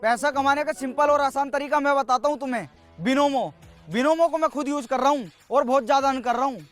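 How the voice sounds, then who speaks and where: A man playing a young villager delivering a scripted, confident advertising pitch inside a sketch. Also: quick pace, fairly bright tone. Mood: confident, salesy. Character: smartly dressed young villager pitching easy money. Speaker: sponsor promoter. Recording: faint music behind the voice.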